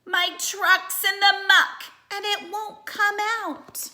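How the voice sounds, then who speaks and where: A woman voicing an angry duck stuck in the mud while reading a picture book aloud, in a loud, exasperated voice. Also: extremely high pitch, level tone, slow pace, voice pushed up, heavily acted. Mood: cross. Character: grumpy stuck duck, cross and snappy.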